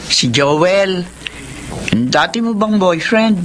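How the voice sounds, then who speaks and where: A woman's voice: fairly low pitch, lively intonation, slow, a little hiss or hum. An actress playing a chatty, meddling older mother-in-law and grandmother in a Filipino radio drama. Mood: gossipy. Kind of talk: Tagalog radio drama acting.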